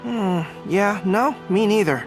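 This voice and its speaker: A man's voice: sits high, slow, over music. A man voicing an earnest young male officer in an anime game, polite and a little hesitant.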